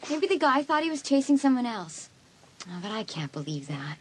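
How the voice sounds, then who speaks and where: A woman's voice: a little high, big pitch swings, moderate pace, breathy edge. An actress playing an anxious but caring teenage girl in a dramatised audiobook. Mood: anxious, pleading.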